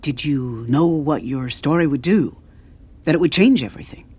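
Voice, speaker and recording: Woman playing an eager young male reporter, low-voiced. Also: animated, moderate pace, darkish tone, breathy edge. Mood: eager, probing. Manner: earnest, probing.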